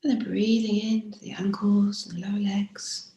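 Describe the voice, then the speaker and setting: Echoey room, woman doing a body scan meditation, soft n gentle, bit of fry, lots of pauses, breathy endings. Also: mid-range voice, flat delivery. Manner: gentle, unhurried, with frequent pauses. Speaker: meditation teacher. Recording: roomy, echoing room sound.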